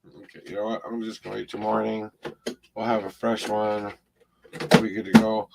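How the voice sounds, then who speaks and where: A man with a gravelly voice, hosting his own paranormal show, talking casually to camera in a rambling, plainspoken way. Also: on the low side, flat delivery, normal speed, noisy background. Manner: rambling, plainspoken, restarts sentences. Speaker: paranormal investigator host.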